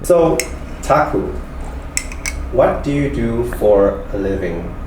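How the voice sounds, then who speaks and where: A man hosting an interview series, asking his guest a set of questions briskly. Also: mid-range voice, expressive, darkish tone. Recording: echoey room with background noise.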